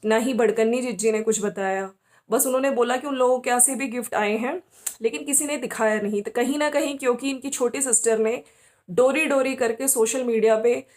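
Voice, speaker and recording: A woman's voice: fairly high pitch, steady tone, fairly quick, a little mellow. A woman hosts a commentary video. She talks conversationally and gives her opinions, often adding small tags to check that listeners follow. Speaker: YouTube commentary host. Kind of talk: informal opinion commentary on family vloggers.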